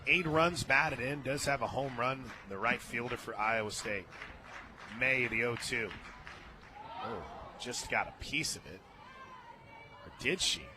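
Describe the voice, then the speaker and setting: A man with an American accent doing live play-by-play radio commentary of a softball game, moving between chatty asides and excited calls. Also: mid-range voice, unhurried, long pauses. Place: open radio booth at a ballpark. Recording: outdoor stadium noise behind the voice. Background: ballpark ambience.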